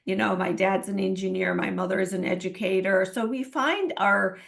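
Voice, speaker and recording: Woman from Iran, coach and interview guest; gravelly, earnest, halting with fillers. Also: mid-range voice. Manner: earnest, many fillers and restarts. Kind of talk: interview answers.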